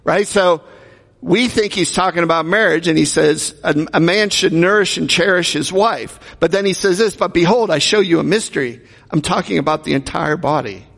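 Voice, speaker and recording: A man's voice: on the high side, normal speed, gravelly, a bit bright. A man preaching a sermon in a conversational way, asking the congregation rhetorical questions. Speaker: pastor. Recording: long hall reverb.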